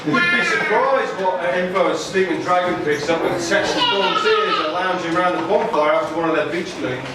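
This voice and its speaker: A man's voice: high-pitched, expressive, brisk, hardly any pauses. A man voicing a grumpy, pompous old dragon in a live puppet show, indignant and chatty. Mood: pompous grumbling. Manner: indignant, pompous, chatty British grandpa phrasing. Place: large room, live audience puppet show. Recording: echoey hall, far from mic, noisy.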